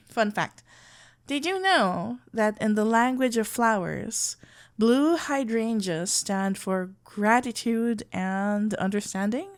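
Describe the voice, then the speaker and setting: A woman playing a shy, tender florist best friend in love, talking haltingly and awkwardly in an intimate ASMR roleplay. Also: medium pitch, expressive. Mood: awkward, chatty. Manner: halting, stammering, awkwardly earnest. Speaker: audio roleplay performer.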